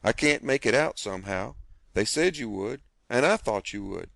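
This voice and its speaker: A man's voice: on the low side, expressive, normal speed, creaky, fairly bright tone, dry recording. Man playing a sly teen boy, wheedling and innocent-sounding. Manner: mock-humble, wheedling.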